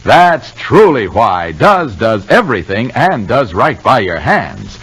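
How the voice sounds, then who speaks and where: Breathy, swooping 1950s radio ad announcer, male. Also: mid-range voice, big pitch swings, slow pace, a bit bright, rough recording. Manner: emphatic, selling delivery. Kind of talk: 1950s radio advertising pitch.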